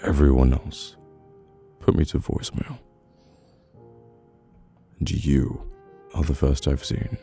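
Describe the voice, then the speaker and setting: A man with a British accent playing a cold, dominant mafia boss in an ASMR audio roleplay, speaking in a measured, commanding way with long deliberate pauses. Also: extremely low pitch, steady tone, vocal fry, warm tone, soft background music, exaggerated voice. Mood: grim, pressing. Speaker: voice actor. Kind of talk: ASMR audio roleplay, slow dramatic monologue.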